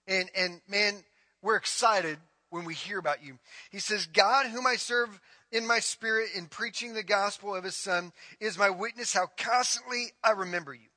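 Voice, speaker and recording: High, bright-voiced male preacher. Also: normal speed, no echo. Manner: emphatic preaching, repeating phrases. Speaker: pastor. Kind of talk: sermon.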